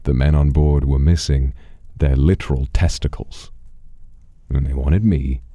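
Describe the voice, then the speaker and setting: A man telling a first-person story in a theatrical, deliberate way, with hushed and drawn-out phrases. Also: a bass voice, steady tone, dry recording.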